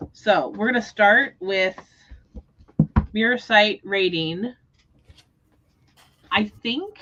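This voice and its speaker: A woman's voice: medium pitch, bright, forceful tone, highs cut off. A woman hosting a book readalong livestream, talking casually about books. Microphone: livestream microphone.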